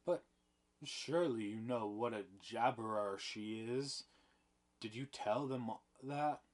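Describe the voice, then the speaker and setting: A man reading the part of an angry, guilt-torn middle-aged farmer, forceful and rising to shouts. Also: medium pitch, slow pace, lightly acted. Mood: stern.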